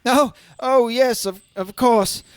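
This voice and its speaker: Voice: medium pitch, takes it slowly, clean tone, natural voice. An actor playing a nervous, secretive older male scientist in a radio drama, hesitant and repeating words. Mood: anxious. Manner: stammering, repeating words, timid.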